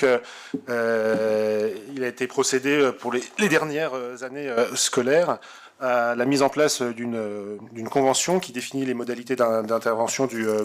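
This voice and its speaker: A man giving a formal, semi-improvised council report in a halting, hesitant way. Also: medium pitch. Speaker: city councillor.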